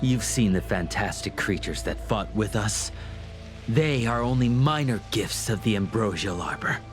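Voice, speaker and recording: A man's voice: on the low side, lively intonation, unhurried. An actor playing a fanatical, unhinged cultist in a video game, gloating theatrically and savoring his words. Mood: smug. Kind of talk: video game character acting. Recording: music behind.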